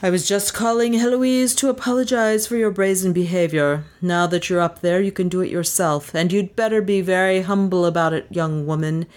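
A woman's voice: on the low side, fairly even intonation, moderate pace, few pauses, darkish tone, lightly acted. A pompous male university president as a woman narrator plays him, plummy and self-important. He scolds in a storybook reading with stiff condescension. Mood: scolding. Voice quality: plush, plummy.

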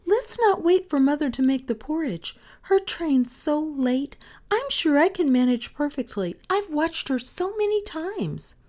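An eager little storybook girl, acted by a woman reader. The voice is high and swoops freely. She is sweet and excitable, gushing her exclamations. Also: unhurried. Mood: eager, pleading.

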